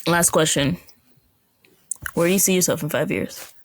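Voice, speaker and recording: A woman in her twenties hosting a podcast, chatting in a relaxed, agreeable way and asking probing questions. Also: fairly low pitch, moderate pace, no echo. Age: 20s. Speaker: podcast host. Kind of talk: casual interview chat.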